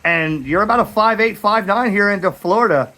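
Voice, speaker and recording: An American man with a slightly high voice, speaking casually as a radio hobbyist. He is plain-spoken and informal, talking outdoors and using ham radio phrasing. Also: a little fast, pushed and bright. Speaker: radio hobbyist making videos. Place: backyard by a metal shed, outdoors.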